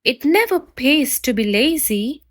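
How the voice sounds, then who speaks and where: A woman doing a high caring mother pig. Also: lively intonation, a little slow. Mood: advising.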